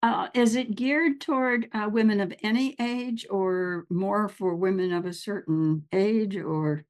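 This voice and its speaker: A woman's voice: mid-range voice, measured pace, a little mellow. A woman hosting a conversational podcast, welcoming her guest and asking open, engaged questions. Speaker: podcast host.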